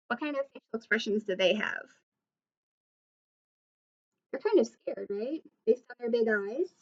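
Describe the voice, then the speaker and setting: A woman teacher reading a picture book aloud to children, pausing to explain and ask questions. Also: a little high, dull audio. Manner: explanatory, asks listeners questions. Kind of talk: read-aloud storytelling with asides to children.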